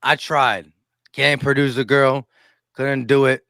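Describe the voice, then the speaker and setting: A man's voice: mid-range voice, little pitch movement, slow pace, gravelly, bright, forceful tone, no room sound, clean studio sound. A man in his thirties chatting casually as a sports show host, emphatic and given to repeating himself. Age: 30s. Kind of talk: casual chat.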